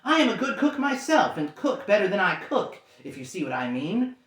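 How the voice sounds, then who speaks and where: Nervous, courteous storybook hobbit, stumbling and lively in pitch; a man does the voice. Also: normal speed, echoey room. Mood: pleading. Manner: nervous, begging, stumbling over words. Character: small frightened male hobbit, polite and pleading.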